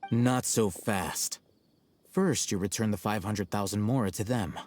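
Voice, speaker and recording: Low, mellow, deadpan scholar. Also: male voice, dry recording. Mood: calm, coldly threatening. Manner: measured, deadpan, formal. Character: cool, calculating young male scholar, bluntly self-assured. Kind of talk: video game voice acting.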